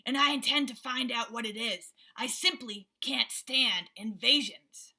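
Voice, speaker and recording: A woman's voice: a little high, unhurried, gravelly, sharp tone, heavily acted. A woman voicing an indignant male wild boar who is set on investigating, in a storybook reading. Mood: determined.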